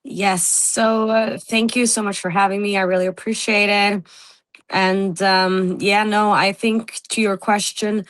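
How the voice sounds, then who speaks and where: A woman's voice: medium pitch, fairly bright tone, no room sound. A woman journalist, giving a candid interview over a video call and laughing between points. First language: Norwegian.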